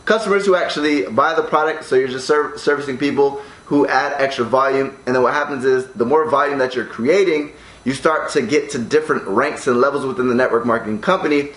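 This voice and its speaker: A man's voice: medium pitch, brisk, a bit bright, reverberant, poor audio. A young American man in a condo, talking casually to camera and explaining ways to earn money online, with frequent "right?" checks. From United States. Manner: upbeat, keeps asking "right?". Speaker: online business vlogger. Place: condo room.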